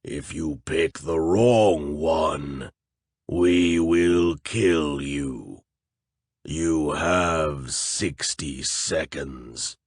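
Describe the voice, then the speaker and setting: A man voicing a huge, menacing flesh golem monster that speaks slowly with a deep, wet, gurgling voice. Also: slightly rough, no echo, studio quality, full character voice. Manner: slow, deep, gurgling and distant.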